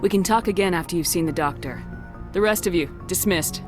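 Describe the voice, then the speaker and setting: A resolute military commander voiced by a woman in a sci-fi game, her voice low for a woman. She speaks tersely and with authority, music faintly underneath. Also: brisk, lightly acted. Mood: decisive. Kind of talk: video game dramatic dialogue.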